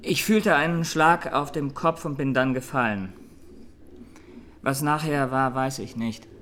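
An actor playing a young Armenian massacre survivor giving halting, sombre testimony in a radio drama. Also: male voice, mid-range voice, fairly bright tone, a little hiss or hum, close to an everyday voice. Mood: grave, halting.

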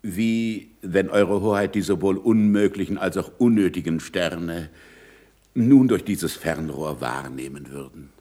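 An actor playing an earnest astronomer in a 1960s German radio play, speaking in a formal, deliberate and emphatic way. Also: male voice, low voice, warm tone, echoey room. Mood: insistent.

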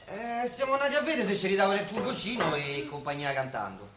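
An excitable Roman working man in a radio drama. His high voice swings widely up and down, rough in language. It is recorded in an echoey space. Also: quick pace. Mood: conciliatory. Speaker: actor. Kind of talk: radio play acting. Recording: echoey room, clear background noise.

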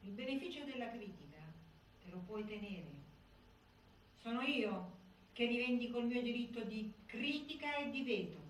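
A woman's voice: on the high side, lively intonation, moderate pace, natural voice. An actress playing a bitter, delusional imprisoned militant woman in an intense, declamatory stage monologue. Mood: proud, defiant. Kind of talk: theatrical monologue. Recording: echoey stage from far off, faint noise.

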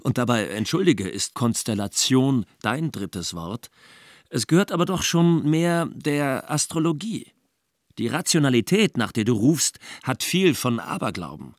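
An actor playing a sceptical, courteous humanist scholar in a German radio drama, questioning in a measured and precise way. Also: male voice, medium pitch, sing-song, no room sound, clean studio sound. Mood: sceptical, teasing. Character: sceptical, learned humanist friend, courteous and probing.

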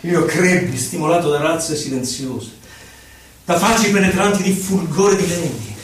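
An actor playing an impassioned, solemn poet who recalls his life in theatrical, declamatory verse with measured phrasing. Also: on the high side, expressive, close to an everyday voice. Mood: exultant. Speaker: actor in a poetic theatre piece for radio. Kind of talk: dramatic poetry recital. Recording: roomy echo, distant mic, violin music. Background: violin music.

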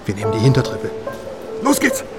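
A man's voice: on the low side, wildly swooping pitch, fairly quick, over loud music. A man playing a detective's chatty, irreverent friend in a crime radio drama, talking in a wry, breezy, colloquial way. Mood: excited, breezy.

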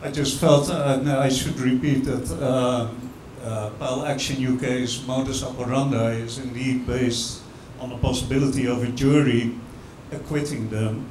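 A man with a mid-range voice speaks hesitantly, pausing on "uh"s as he makes his point. His voice echoes around a large room. Faint background noise runs underneath. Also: mid-range voice, fairly even intonation, a little mellow. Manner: hesitant, many "uh"s. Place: event venue.

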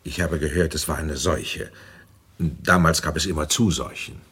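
An actor playing a sober, matter-of-fact older gentleman in a radio drama, with a husky, low voice. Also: normal speed, full character voice. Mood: sober, informative.

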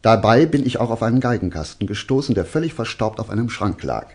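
An actor playing a self-assured male detective in a German radio drama, teasing out his revelations with deliberate, suspenseful storytelling. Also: on the low side, lively intonation, husky. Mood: confident, probing.

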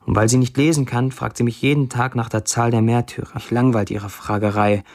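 A man's voice: mid-range voice, flat delivery, fairly quick, darkish tone, close to an everyday voice. An actor playing a wry man of twenty-nine who reads his blunt first-person posts in a dry radio drama monologue. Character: wry 29-year-old Syrian man narrating his blunt online posts.

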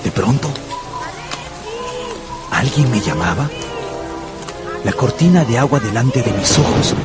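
An anxious, brooding gambler telling of his ruin in a radio novel. His mid-pitched voice moves expressively between intense confession and dramatic pauses, over background music. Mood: shaken. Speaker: lead actor and narrator. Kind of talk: first-person dramatic narration in a radio novel.

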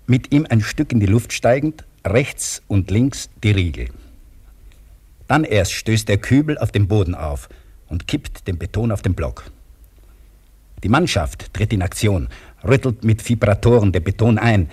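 An actor playing a male crane operator who recounts his work in measured, vivid scripted radio narration, with a husky voice. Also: lowish voice, darkish tone.

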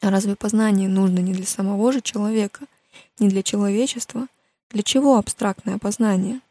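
A woman's voice: medium pitch, fairly even intonation, a little fast, mellow, no echo, close to an everyday voice. A woman voicing an earnest, dreamy young idealist in an audiobook, speaking in declarations. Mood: earnest conviction.

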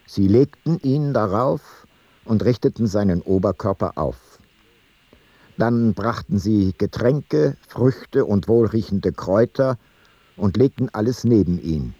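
An ancient traveller reporting what he witnessed, in a radio drama. His voice is on the low side and slightly husky, measured and detached. The recording is very dry and close. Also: dark tone, no room sound, exaggerated voice. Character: sober ancient traveller reporting a foreign funeral rite. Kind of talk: literary radio play narration.

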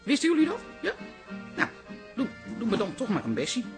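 Lively, hesitant café auntie. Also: female voice, on the high side, brisk, soft background music. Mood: self-justifying. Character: plump aunt, a café regular, easily tempted. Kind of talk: Dutch radio drama acting.

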